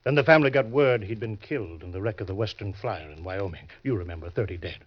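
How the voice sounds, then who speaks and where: An actor playing a crafty, genial older swindler in an old-time radio crime drama, speaking in a suave, deliberate way. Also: male voice, lowish voice, husky. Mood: sly, assured. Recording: dull vintage radio recording.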